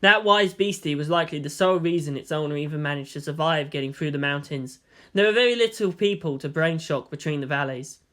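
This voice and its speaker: Higher-voiced man narrating a story. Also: fairly quick, sharp tone.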